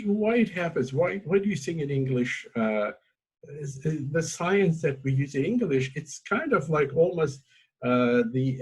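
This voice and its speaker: A man's voice: medium pitch, husky, rough recording. A man whose first language is Azeri, hosting an informal academic discussion in English, speaking hesitantly with many fillers and restarts. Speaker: academic host.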